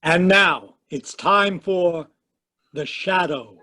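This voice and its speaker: A man announcing an old-time radio program in an emphatic, declamatory style. His voice sits high for a man, and he leans hard on each phrase. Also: slow, pushed and bright. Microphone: home microphone on a video call.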